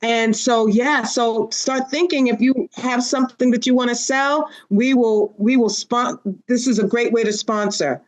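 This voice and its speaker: A woman's voice: a little high, fairly even intonation, unhurried, hardly any pauses, poor audio. A woman hosting a podcast panel in a casual, conversational style. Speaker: podcast host.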